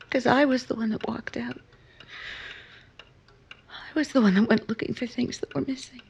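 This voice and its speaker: A woman playing a disillusioned, wistful married woman in a 1950s-style radio drama, breathy and husky, often dropping to a half-whisper. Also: fairly high pitch, heavily acted. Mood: anguished confession. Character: disillusioned married woman, wistful, bruised, romantic, gently challenging.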